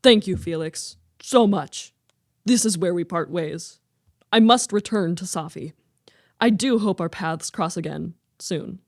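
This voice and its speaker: Woman playing a proud merchant father, low female pitch. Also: measured pace, no room sound, studio quality. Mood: grateful, urgent. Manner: formal, emphatic. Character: proud, commanding merchant father of a grown daughter. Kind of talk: radio play acting.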